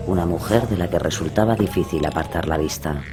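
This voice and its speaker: A man's voice: deep voice, fairly quick, over music. A man giving dramatic scripted narration for a suspense and horror radio play.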